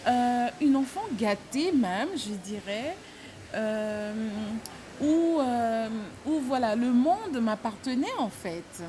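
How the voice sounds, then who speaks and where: A woman from French Guiana, the founder of a fashion brand, talks about her childhood in an interview, slowly and hesitantly, with many fillers. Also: a little high, animated, mellow, a little hiss or hum.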